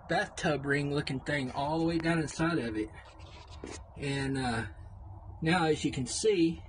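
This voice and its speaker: Older man, relaxed vlog host, slightly high voice, windy outdoor recording. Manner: easygoing, repeats "really, really", many "uh" fillers. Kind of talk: casual how-to vlog. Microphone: clip-on mic, camera on tripod and handheld. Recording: wind noise on the mic. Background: wind.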